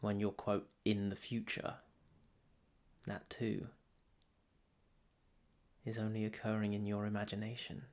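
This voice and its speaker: Very slow, low-pitched man guiding meditation. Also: completely flat delivery. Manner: soothing, deliberate, with long pauses between short phrases. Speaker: meditation teacher. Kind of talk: guided meditation.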